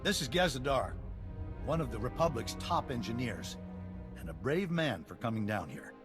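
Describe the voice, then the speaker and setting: A man voicing a wry, concerned rebel colleague in a sci-fi game, in a measured scripted delivery. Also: mid-range voice, sing-song, over music, natural voice. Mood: relieved.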